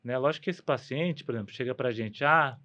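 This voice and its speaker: A man's voice: mid-range voice, brisk, pushed and bright. Slightly muffled Brazilian man explaining medicine. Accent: Brazilian Portuguese. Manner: explanatory, poses and answers own questions. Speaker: guest, ENT doctor.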